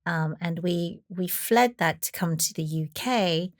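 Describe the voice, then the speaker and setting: A woman, her voice fairly low. She tells her story in a chatty way, full of "you know" and "um". The sound is close and dry. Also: measured pace, no echo. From Taiwan. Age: 40s. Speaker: chef and guest. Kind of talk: conversational storytelling.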